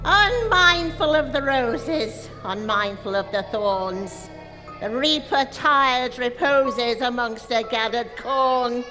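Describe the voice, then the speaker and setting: A woman's voice: fairly high pitch, over music, low-quality recording. A woman playing a sly, sinister evil fairy who coaxes her victim in a stage pantomime. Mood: ominous, solemn. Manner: slow, coaxing, sinister. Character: sly evil fairy witch luring a princess. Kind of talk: theatrical stage pantomime villain acting.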